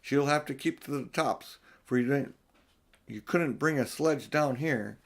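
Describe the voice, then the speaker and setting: A man's voice: mid-range voice, a little slow, gravelly. Storybook male beaver, voiced by a man: practical, blunt, homely, keen to get moving.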